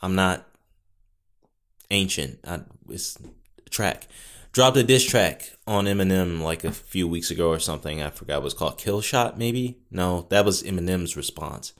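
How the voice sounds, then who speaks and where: Solo podcast host, young man with a low booming voice; loose, wandering delivery full of wry asides. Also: lively intonation, normal speed. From Northwest Indiana. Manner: rambling, self-correcting, wry asides. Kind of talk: casual solo podcast chat. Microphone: studio podcast mic.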